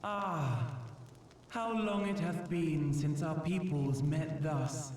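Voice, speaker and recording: An ancient, solemn dragon envoy from a fantasy game, speaks in booming, heavy echo. The delivery is very slow and regal, fairly high for a male voice and set at a distance. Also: darkish tone. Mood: solemn, wistful. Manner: slow, grand, archaic. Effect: heavy booming reverb. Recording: very echoey, distant, faint ambient noise.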